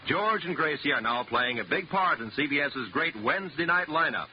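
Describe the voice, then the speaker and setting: A male announcer from 1950s radio with a fairly high, very animated voice. He delivers scripted promos in period style. The old recording is slightly dull. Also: moderate pace. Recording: muffled, faintly noisy vintage radio recording.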